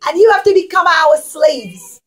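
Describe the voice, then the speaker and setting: Woman playing loud, bossy wild pirate. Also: extremely high pitch, expressive, normal speed. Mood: rough, commanding. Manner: loud, commanding, emphatic. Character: wild, vicious male pirates, bossy and prowling. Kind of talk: children's storytelling.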